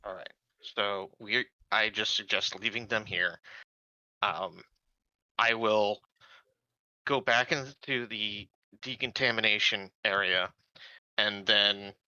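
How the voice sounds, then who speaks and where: Low-pitched man with a very bright edge. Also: fairly even intonation, takes it slowly, long pauses, no echo, muffled recording. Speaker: tabletop game player. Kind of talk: casual in-game planning talk.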